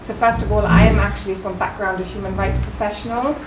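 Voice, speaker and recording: A woman's voice: medium pitch, fairly even intonation, quick pace. A woman from Germany, a native German speaker, giving an informal, appreciative impromptu speech at a forum. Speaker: guest speaker, care project manager and doctoral researcher. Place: meeting room at a university campus. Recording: echoey room, distant mic, muffled, loud noise.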